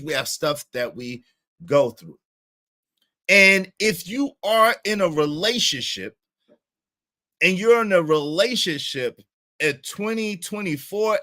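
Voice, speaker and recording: A man's voice: high voice, bright, forceful tone. An American man hosting a casual livestream, talking emphatically to viewers, repeating phrases and checking in with short tag questions. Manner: emphatic, repeats phrases, tags statements with "right?" and "okay?". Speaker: livestream host.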